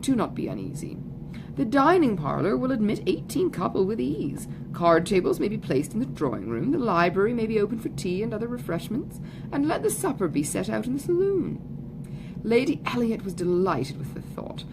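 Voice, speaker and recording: A woman voicing a conceited, foppish young gentleman in an audiobook, with a pompous, affected, effusive manner. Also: medium pitch. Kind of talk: audiobook character voice. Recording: clearly noisy recording, background ambience.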